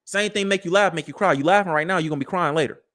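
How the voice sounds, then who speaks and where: A man in his early thirties hosts a live commentary show with a high voice. He lectures his audience in a preachy way and uses a lot of street slang, talking straight at the people listening. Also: very fast, fairly bright tone. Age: 30s.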